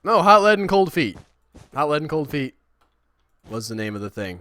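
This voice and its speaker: A man chatting casually while he streams a building game, rambling and self-deprecating, with a high, squeaky voice. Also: big pitch swings, moderate pace, sharp tone, dry recording. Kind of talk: casual livestream chat.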